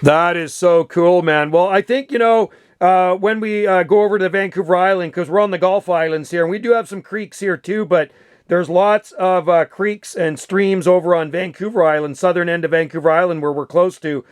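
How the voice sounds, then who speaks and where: A man's voice: high-pitched, normal speed, clear, resonant tone, dry recording, studio quality. A man chatting casually and enthusiastically as an amateur radio operator. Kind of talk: casual chat over radio.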